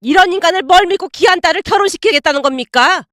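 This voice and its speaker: A woman voicing a furious middle-aged mother-in-law who scolds loudly and scornfully in a dramatized story. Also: extremely high pitch, fast, dry recording, clean studio sound, pitched above the performer's own voice. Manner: shouting, scolding, contemptuous.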